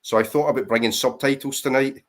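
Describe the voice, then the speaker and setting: A man's voice: medium pitch, steady tone, quick pace, fairly bright tone. A man with a Scottish accent, chatting casually and self-deprecatingly as a guest on a livestream. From Scotland. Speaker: guest on panel. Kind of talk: casual chat.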